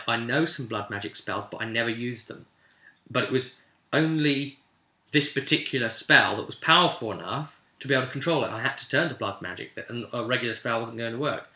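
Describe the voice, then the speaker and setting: Man playing an earnest, burdened mage, bright-voiced. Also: mid-range voice, a little fast. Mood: earnest, resigned. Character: earnest, burdened male mage protecting his town. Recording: roomy, echoing sound.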